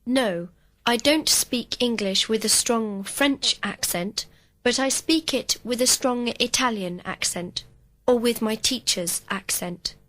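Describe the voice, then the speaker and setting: A woman giving slow, complete textbook answers as a model student in a recorded English lesson. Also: a little high, a bit bright, poor audio. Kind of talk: scripted full-sentence answers.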